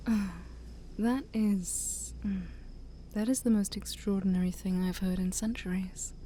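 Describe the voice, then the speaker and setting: A woman playing an ancient, sly demon princess in soft-spoken ASMR roleplay, breathy and near-whispered, with a teasing, haughty manner. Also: medium pitch, slight background noise, close to an everyday voice, rough recording. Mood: flustered, amazed. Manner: slow, teasing, haughty, theatrical.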